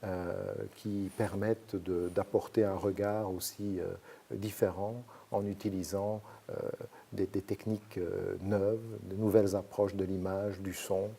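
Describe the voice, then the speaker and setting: A man from Belgium, a sociologist explaining his research in measured, hesitant sentences. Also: low-pitched, flat delivery, mellow, husky. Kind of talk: academic interview answers.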